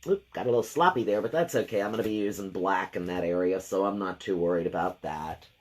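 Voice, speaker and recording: A woman hosting a live craft painting session. She has a deep, gravelly voice left over from a cold, and she chats and thinks aloud while she works. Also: quick pace, a little mellow. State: lingering gravelly voice after a cold. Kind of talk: casual live painting demo.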